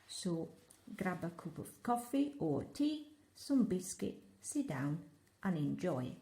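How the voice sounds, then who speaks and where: Slow-talking Italian woman teaching crochet. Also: on the low side, big pitch swings, roomy sound, slight background noise. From Italy. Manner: playful, chatty, gently joking instructions. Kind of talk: step-by-step craft tutorial.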